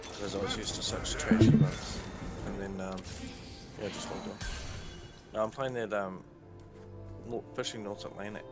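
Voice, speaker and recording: Low-voiced New Zealand man on voice chat. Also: normal speed, pauses often. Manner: teasing, swears freely. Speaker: gamer on voice chat. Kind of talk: casual gaming banter. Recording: game music over voice chat.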